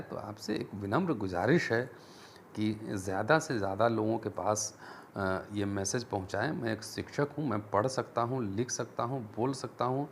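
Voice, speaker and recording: A man giving an earnest, deliberate explanatory talk as a teacher and channel host, mixing in English terms. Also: lowish voice, gravelly, a little hiss or hum. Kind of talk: explanatory political history talk to viewers.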